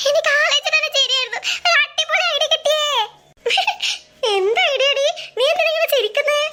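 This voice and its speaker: Tiny squeaky cartoon girl, super high and bright, giggly little schemer, teasing. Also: animated, unhurried, a little hiss or hum, exaggerated voice. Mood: giggly, excited. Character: mischievous scheming young girls, giggly, teasing cartoon kids. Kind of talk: Malayalam comedy cartoon dialogue.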